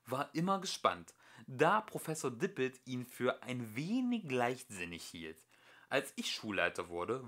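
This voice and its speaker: Bright, expressive man reading a storybook. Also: mid-range voice. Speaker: amateur audiobook reader. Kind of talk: scripted storybook narration, reading aloud.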